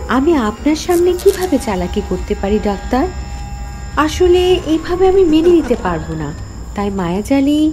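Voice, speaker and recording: A woman's voice: sits high, very animated, normal speed, mellow, faint music behind, poor audio. A woman playing a cunning, defiant young heroine who tells her horror story in an emphatic, theatrical way. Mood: feigned submission. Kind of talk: dramatic first-person horror story narration.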